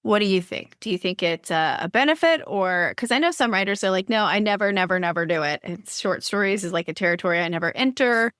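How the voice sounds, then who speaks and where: A woman's voice: mid-range voice, lively intonation, fast, hardly any pauses, a bit bright, dry recording, clean studio sound. A woman hosting a writing podcast. She asks long, chatty questions with playful asides and plenty of fillers. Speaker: podcast host. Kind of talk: podcast interview conversation.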